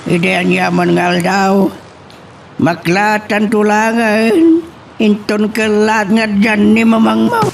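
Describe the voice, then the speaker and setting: A man playing a grave, worried older father in an Ilocano audio drama, with a creaky voice and an Ilocano accent. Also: high-pitched, takes it slowly, heavily acted. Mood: worried, resigned. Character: grave, worried old father advising his daughter. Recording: clear background hiss and noise.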